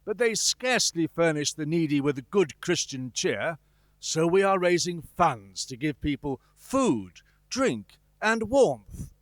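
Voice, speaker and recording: An actor playing an earnest, courteous gentleman collecting for charity in a radio play, polite and persuasive. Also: fairly high pitch, sing-song, slow pace, no echo. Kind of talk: stage radio-play acting.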